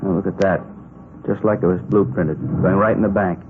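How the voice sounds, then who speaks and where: A man's voice: low-pitched, fairly even intonation, a little fast, natural voice. An American man playing a no-nonsense postal inspector in a 1950s radio drama, speaking in a clipped, dry manner. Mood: watchful, brisk. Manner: clipped, dry, matter-of-fact. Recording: muffled, noisy old radio recording.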